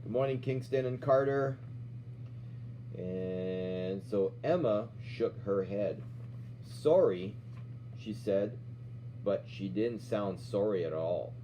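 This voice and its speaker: A middle-aged man reading a children's storybook aloud as an instructor, pausing to explain and check in with questions. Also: fairly low pitch, dark tone. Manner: checks in with questions, often ending "right?". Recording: dull lo-fi sound with faint hum.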